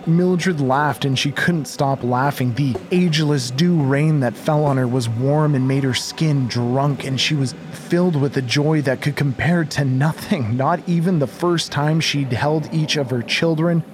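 A man narrating a scripted horror story in a measured, intense, literary style. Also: medium pitch, darkish tone. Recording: faint background noise.

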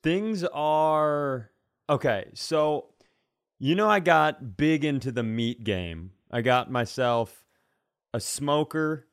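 A man's voice: on the high side, lively intonation, takes it slowly, clear, resonant tone. An American man co-hosting a podcast, telling casual comedic stories in a rambling, self-deprecating way. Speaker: podcast co-host.

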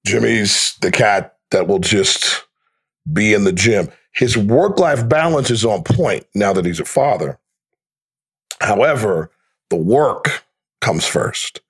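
A man's voice: fairly low pitch, lively intonation, slow pace, breathy edge. A man talking casually as a podcast guest, telling stories like a sports broadcaster in short, clipped phrases with wry, slangy asides. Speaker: basketball radio play-by-play announcer, guest.

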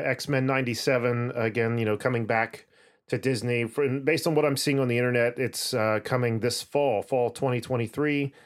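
A man with a mid-range voice works as a podcast host. He puts his questions in a conversational interviewing style. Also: mid-range voice.

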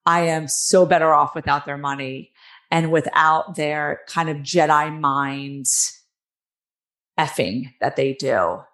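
A middle-aged woman with a New Jersey accent and a raspy, nasal voice, earnestly telling her own story as an interview guest. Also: deep voice, slow pace, fairly bright tone. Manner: earnest, emphatic, with many fillers. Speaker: guest author.

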